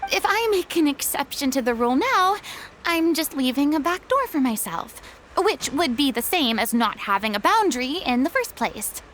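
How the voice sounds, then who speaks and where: Theatrical former stage star, high voice, grandiose, dramatic, self-pitying, game voice acting. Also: female voice, sing-song, normal speed, a bit bright, a little hiss or hum. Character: proud, theatrical young woman, a retired stage star.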